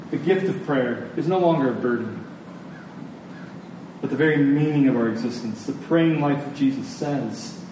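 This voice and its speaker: A man preaching a sermon in an earnest, emphatic manner, repeating key phrases with deliberate pauses. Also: a little high, darkish tone. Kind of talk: sermon to a congregation. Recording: very echoey room, distant mic, faint noise.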